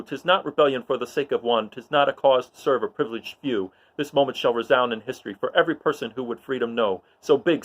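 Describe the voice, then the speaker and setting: A man's voice: mid-range voice, muffled recording, close to an everyday voice. A man acts out a young rebel pilot with a fiery, idealistic heart. The delivery is earnest and declamatory, raised above everyday talk, in the grand style of a Shakespearean stage reading.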